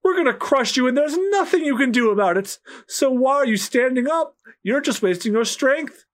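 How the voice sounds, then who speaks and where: A man's voice: very high-pitched, animated, moderate pace, voice pushed up. A man voicing a boastful male pirate who taunts in a pompous way while reading a comic aloud. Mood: gloating.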